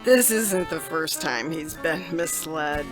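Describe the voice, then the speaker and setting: A woman's voice: deep voice, slow pace, music in the background. A woman playing a sultry, poised femme fatale wife in a parody noir radio drama. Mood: confiding.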